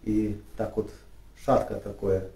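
Pastor preaching in an echoey room. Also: male voice, fairly low pitch, flat delivery, creaky, mellow, recorded from a distance. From Ukraine. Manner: halting, many fillers and restarts, rising emphatic when reading scripture. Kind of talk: sermon. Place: church studio with flags and lit cross. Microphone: far camera.

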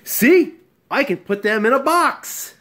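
A man's voice: very high-pitched, lively intonation, slow, fairly bright tone, voice pushed up, full character voice. A man voicing an eager spotted animal in a storybook, boastful and pleading as it shows off. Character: eager spotted animal showing off magic tricks. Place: small bright room at home.

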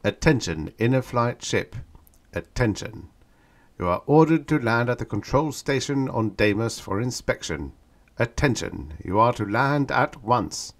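A man voicing a control-station loudspeaker in an audiobook story, announcing formal orders in a loud, stern voice. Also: medium pitch, animated, unhurried, voice pushed up.

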